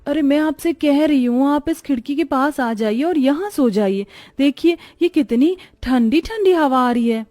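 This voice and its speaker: A woman's voice: sits high, lively intonation, moderate pace, hardly any pauses, mellow, no echo. A woman voicing a naive, earnest young village bride in a dramatized moral story. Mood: innocent.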